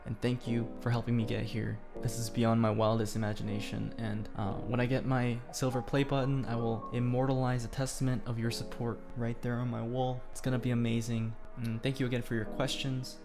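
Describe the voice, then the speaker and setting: A young man from Korea, Korean his first language, reads out fan questions and answers them with dry, self-mocking jokes. His voice sits at an everyday middle pitch. Clear background music plays under his talking. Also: little pitch movement, a little fast, few pauses. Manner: playful, self-deprecating, reads questions aloud. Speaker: YouTuber. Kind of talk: casual Q&A vlog.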